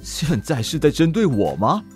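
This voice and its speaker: Prickly, flustered older guy, lively intonation. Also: fairly high pitch, normal speed, faint music behind. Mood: guarded. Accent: Taiwanese Mandarin. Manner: tsundere, scolding, flustered. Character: stubborn, prickly, easily flustered middle-aged man, secretly fond. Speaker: voice actor in audio drama. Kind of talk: Mandarin BL audio drama acting.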